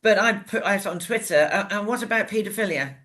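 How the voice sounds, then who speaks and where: A female talk show co-host with an average-pitched voice. She speaks in a casual, opinionated way, restarting and repeating words. She often turns her thoughts into questions. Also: a little fast, a bit bright.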